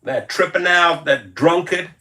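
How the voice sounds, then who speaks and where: A man's voice: high voice, a little slow. A man playing an angry, fed-up male caller who rants and curses down the phone, repeating himself. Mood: ranting. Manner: angry shouting, cursing, repetitive.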